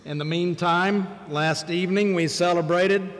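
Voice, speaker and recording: Older guy bishop preaching real slow and deliberate, kinda high voice, big echoey church. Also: steady tone, a bit bright. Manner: measured, deliberate preaching with pauses. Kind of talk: sermon. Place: large church sanctuary, at a wooden pulpit. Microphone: pulpit microphone, far camera. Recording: long church reverb.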